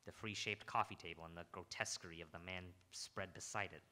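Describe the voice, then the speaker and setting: A man's voice: sits low, steady tone, fairly quick, pushed and bright. A man playing a hard-boiled, world-weary police detective in a live radio noir drama, brooding and terse. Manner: terse, brooding, clipped questioning.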